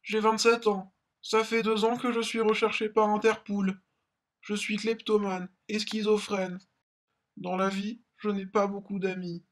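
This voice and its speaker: A woman's voice: mid-range voice, level tone, a little slow, close to an everyday voice. A woman playing a lonely kleptomaniac in her late twenties in a reality show parody. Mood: flat, confessional. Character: friendless twenty-seven-year-old kleptomaniac woman on the run.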